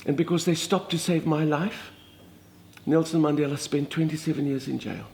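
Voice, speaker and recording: A grand older lady with a thick Afrikaans accent, as a man puts her on, gushing through a story with dramatic exclamations. The voice sits a little high, full of theatrical flourish. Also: steady tone, moderate pace, gravelly, darkish tone, a little hiss or hum. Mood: gossipy. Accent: Afrikaans South African. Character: chatty older Afrikaner woman, minister's wife, theatrical. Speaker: comedic monologist. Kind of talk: comic storytelling monologue.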